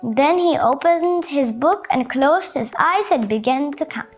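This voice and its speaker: A woman reading a storybook aloud to children, with expressive, carefully phrased narration. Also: high-pitched, measured pace, soft background music.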